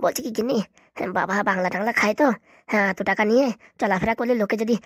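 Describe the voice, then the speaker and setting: Guy doing a worried young cartoon son in law, hesitant n fretful, rattles along super fast, dry sound. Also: high-pitched, a bit bright, no echo. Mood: evasive. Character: anxious, reluctant young son-in-law, newly rich husband. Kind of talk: comedy cartoon dialogue.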